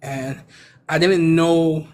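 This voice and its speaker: A man from Haiti with a Haitian accent, telling a personal story in a halting, stammering way. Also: on the high side, fairly even intonation. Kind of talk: personal story in casual live chat.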